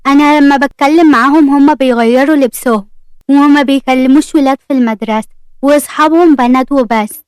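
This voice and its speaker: A woman's voice: high voice, fast talker, a little mellow, no room sound, clean studio sound, natural voice. A woman voicing a bossy, hot-tempered schoolboy who speaks like a little grown-up, in an audio story. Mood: defensive, tense. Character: stern, possessive young schoolboy, hot-tempered but composed.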